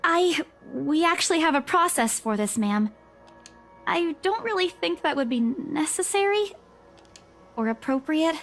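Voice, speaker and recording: Very high-voiced, polite young saleswoman. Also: animated, unhurried, a bit bright, soft background music, heavily acted. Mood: flustered. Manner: deferential, hesitant. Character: polite, flustered young female real estate agent. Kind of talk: visual novel game voice acting.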